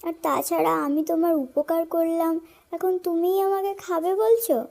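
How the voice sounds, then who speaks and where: Young girl , played by a woman: pleading, good-hearted, high voice, unhurried delivery, kids' fable animation. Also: fairly even intonation, a little mellow. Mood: protesting. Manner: pleading, coaxing. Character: kindly girl pleading for her life. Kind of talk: children's animated fable dialogue.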